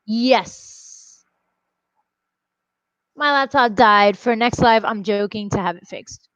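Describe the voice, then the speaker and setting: High-voiced young woman from Puerto Rico, dull audio. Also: slow, a bit bright, clean tone, no echo. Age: late 20s. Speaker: co-host of a book live stream. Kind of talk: casual group chat. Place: indoor room at home, on a video call. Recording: dull, lo-fi video call audio.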